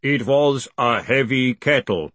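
A man voicing a huge, impassive golem in an audiobook, with a slow, calm, rumbling and formal delivery. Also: no echo.

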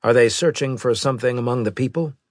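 A man's voice: mid-range voice, fast talker, dry recording, pitched above the performer's own voice, natural voice. Male narrator as military leader, male, authoritative, probing, terse, close dry recording, sci-fi audiobook. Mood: serious.

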